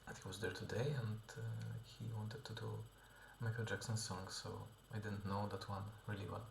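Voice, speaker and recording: Man, very low flat voice, hesitant, whispery at times, roomy echo, noise behind. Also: moderate pace, vocal fry. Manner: hesitant, many fillers and restarts, often whispery. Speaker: vlogger and musician. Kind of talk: casual spoken diary.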